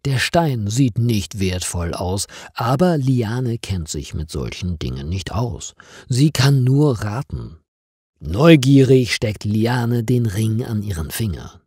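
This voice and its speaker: Slow, mellow male storyteller with lively intonation. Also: lowish voice, husky, dry recording, studio quality. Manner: deliberate, expressive reading. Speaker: narrator of stories for language learners. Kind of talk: slow, clearly paced scripted story narration.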